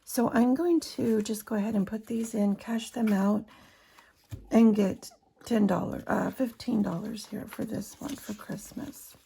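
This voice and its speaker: A hoarse woman chatting casually to her viewers as a budgeting vlogger, counting cash aloud as she works. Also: medium pitch, fairly even intonation, unhurried, mellow, a little hiss or hum. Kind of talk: casual chat while cash stuffing.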